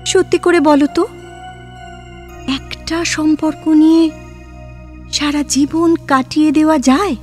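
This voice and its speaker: A woman's voice: high voice, steady tone, slow pace, pauses often, a little mellow, soft background music. A woman playing a free-spirited, inquisitive, romantic young woman in an audio drama, with a playful, probing and theatrical delivery. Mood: curious, candid. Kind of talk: Bengali audio drama dialogue.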